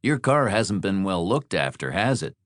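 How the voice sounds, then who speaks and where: A man voicing a smooth, controlling motel host in an audiobook, coldly polite, measured and condescending. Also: deep voice, very animated, no room sound. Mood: quiet menace.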